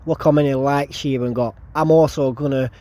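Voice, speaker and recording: A man's voice: medium pitch, steady tone, a little fast, mellow. A man hosting the channel, giving enthusiastic voice-over commentary between clips. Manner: enthusiastic, hyping up. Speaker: channel host.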